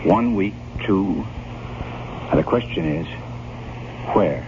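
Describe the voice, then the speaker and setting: A very deep-voiced gentleman speaks with formal, earnest insistence, as if bound by a grave promise. He is anxious yet resolute. The old radio recording is dull and clearly noisy. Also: lively intonation, takes it slowly, full character voice. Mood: urgent. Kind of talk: dramatic radio horror acting and first-person narration.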